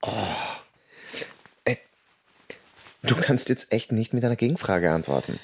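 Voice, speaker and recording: A man's voice: medium pitch, very animated, unhurried, breathy edge, no echo, heavily acted. A man playing a restless, insistent Austrian stage actor in a radio drama, telling his story and arguing. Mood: irritated, insistent. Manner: insistent, probing. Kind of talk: radio drama narration and dialogue.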